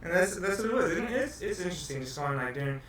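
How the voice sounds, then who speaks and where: A man's voice: sits high, sing-song, fairly bright tone, recorded from a distance. A man from Southern California hosting a casual podcast interview, chatty and swearing freely. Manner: chatty, swearing, quick questions. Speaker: podcast host. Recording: echoey room, off-mic, faint hum.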